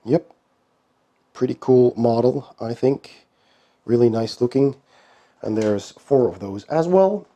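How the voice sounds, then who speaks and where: A hoarse man with a cold hosts an unboxing in a casual, unhurried way. He talks in a low-key manner with many pauses. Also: mellow. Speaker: board game channel host.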